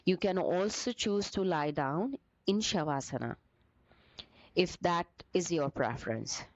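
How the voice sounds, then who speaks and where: A woman leading a guided meditation as a teacher, with gentle, soothing, deliberate phrasing broken by short pauses. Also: fairly low pitch, dry recording, muffled recording.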